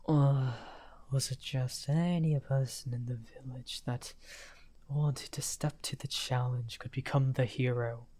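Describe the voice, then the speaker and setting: A man playing a misunderstood, weary villain wizard in an ASMR fantasy roleplay, amused and softly menacing, talking teasingly and half in whispers. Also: medium pitch, steady tone, unhurried, natural voice. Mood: amused, fascinated.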